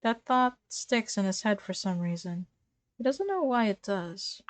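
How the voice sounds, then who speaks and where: A woman's voice: medium pitch, expressive, measured pace. A woman reading a story aloud informally to friends, giggly and ready to break off and react. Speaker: fan fiction reader.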